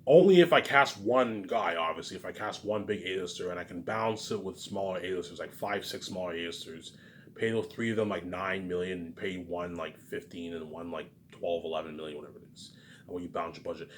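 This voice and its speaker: A man rambling in a casual monologue, repeating himself, jumping between loud bursts and mumbled trailing endings. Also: low-pitched, fairly quick, a little hiss or hum. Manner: repetitive, keeps saying "man" and "obviously". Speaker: vlogger.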